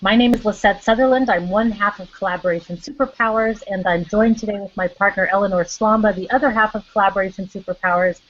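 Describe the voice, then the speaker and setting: A woman's voice: mid-range voice, quick pace, few pauses, muffled recording. A woman hosting an online interview over a video call, upbeat and eager as she welcomes her guests. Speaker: interview host.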